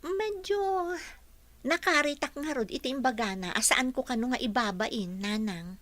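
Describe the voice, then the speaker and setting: Dutiful young housekeeper daughter, Ilocano accent, forward bright tone, higher register, radio drama. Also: female voice, moderate pace, dry recording. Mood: earnest, respectful.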